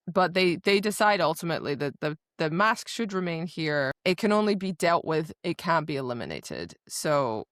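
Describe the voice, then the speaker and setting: Woman, lowish voice, podcast co-host, casual book chat, opinionated, emphatic, slangy, dry recording. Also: sing-song, moderate pace, few pauses, a bit bright, no room sound.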